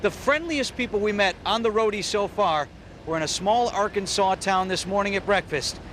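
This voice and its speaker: A man's voice: high-pitched, normal speed, a bit bright. A male television news reporter giving an informal live report in a joking, conversational way. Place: outdoors on a city street in rain. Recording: outdoor live shot with ambient noise.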